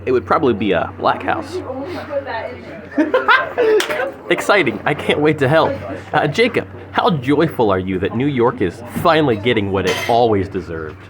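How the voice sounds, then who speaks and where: Young male podcast host, mock-formal and animated, loud restaurant chatter behind. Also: medium pitch, moderate pace, pauses often. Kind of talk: mock news anchor banter. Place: sushi restaurant.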